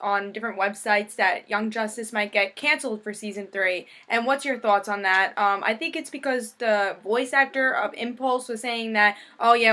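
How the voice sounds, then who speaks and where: A woman's voice: medium pitch, bright, forceful tone. A woman hosting a casual fan podcast, putting questions to her co-host. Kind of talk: casual discussion.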